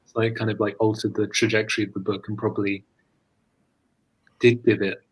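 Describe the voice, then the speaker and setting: Low-voiced, halting British man. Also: level tone, breathy edge, rough recording. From London, England. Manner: halting, tentative phrasing. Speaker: guest poet. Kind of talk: casual thoughtful conversation. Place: live online video call.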